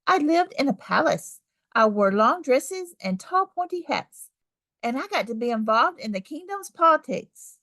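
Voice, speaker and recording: Storybook schoolgirl, voiced by a woman: curious, eager, high and animated, recorded dry. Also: a little slow, no room sound, exaggerated voice. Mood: proud. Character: eager, curious young schoolgirl who loves princesses.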